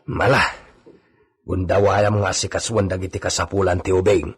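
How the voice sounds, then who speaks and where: A man's voice: low-pitched, little pitch movement, normal speed, slightly rough. A man with an Ilocano accent acting a gossipy, obedient male villa stable hand in a radio drama. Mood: gossipy, concerned.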